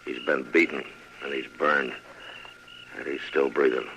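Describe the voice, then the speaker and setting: An actor playing a calm, authoritative American frontier marshal in an old radio western, terse and steady. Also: male voice, a bass voice, a little slow, creaky, full character voice. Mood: grim focus. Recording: very noisy, crackly old radio broadcast.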